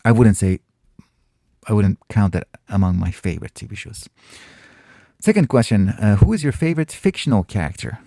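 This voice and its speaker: Host, male, Farsi native, low and gravelly, mellow, reflective and halting, dry close sound. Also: animated, no room sound. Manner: thoughtful, pausing often and restarting phrases. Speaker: channel host answering viewer questions. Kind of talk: casual reflective Q&A monologue.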